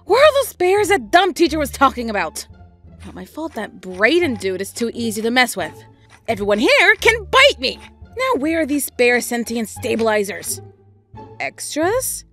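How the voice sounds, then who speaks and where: A woman's voice: fairly high pitch, wildly swooping pitch, moderate pace, sharp tone, soft background music, exaggerated voice. A woman voicing a grumpy, sarcastic teenage girl robot drone in a fan comic dub. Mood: grumbling. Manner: snarky, muttering.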